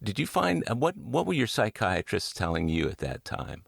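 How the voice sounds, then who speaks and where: A man's voice: fairly low pitch, wildly swooping pitch, moderate pace, gravelly, slightly rough, dry recording, studio quality. A man hosting a conversational interview, reflective and drawing on his own experience as he asks questions. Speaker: interviewer and podcast host.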